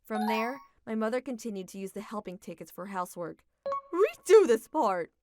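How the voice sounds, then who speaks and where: A woman's voice: medium pitch, quick pace, music in the background. Woman narrating a manga dub story. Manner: steady, even, reflective reading.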